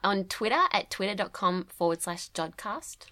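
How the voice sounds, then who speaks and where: A woman's voice: mid-range voice, moderate pace, sharp tone, no echo. A woman co-hosting a podcast, casually chatting and reading out listener mail. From Australia. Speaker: podcast co-host.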